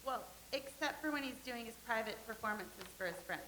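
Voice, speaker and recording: A gushing, naive young actress, played by a woman, talks about herself with giggles. Her voice is high and bright, and the room rings with echo. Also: lively intonation, fairly quick, a little hiss or hum. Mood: dreamy. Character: vain, starry-eyed young stage actress, woman. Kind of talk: staged play reading. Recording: echoey room.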